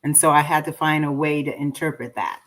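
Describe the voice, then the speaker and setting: A woman's voice: low voice, steady tone, brisk, fairly bright tone. A woman from Trinidad, answering interview questions in an earnest, reflective, conversational way. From Trinidad and Tobago. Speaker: interview guest, poet and filmmaker.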